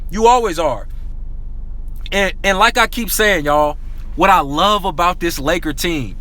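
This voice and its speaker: A man giving an excited, slangy basketball rant to his viewers, repeating himself often and saying he is losing his voice. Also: high voice, unhurried, poor audio. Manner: excitable, repetitive, slangy, addresses viewers as y'all. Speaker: sports talk vlogger. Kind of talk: hyped casual basketball rant.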